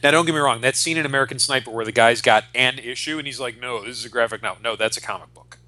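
A man's voice: mid-range voice, quick pace, bright, forceful tone, dry recording. A man in his forties co-hosting a podcast, chatting casually and with strong opinions, ranting and swearing freely. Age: 40s. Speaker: podcast host.

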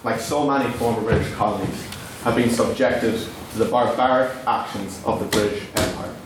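A man's voice: lowish voice, steady tone, moderate pace. A young man whose native language is Irish gives a formal maiden speech at a debating society, in a declamatory, rhetorical manner. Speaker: debate speaker. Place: large echoey debating hall. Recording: echoey hall, distant mic, noisy.

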